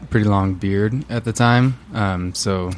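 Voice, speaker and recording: A man from a band telling how the group formed, relaxed and full of fillers. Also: fairly low pitch, unhurried, slight background noise. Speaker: band member. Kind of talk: casual interview answers.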